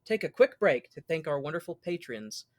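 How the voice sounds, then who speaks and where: A man's voice: fairly high pitch, sing-song, normal speed, dry recording. An American man co-hosting a podcast, chatting casually with a wry, jokey manner. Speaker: podcast co-host.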